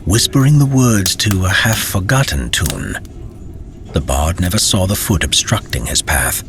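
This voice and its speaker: A man with a very low voice narrates a fantasy story. His reading is measured and dramatic, with some ambient noise behind the voice. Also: animated.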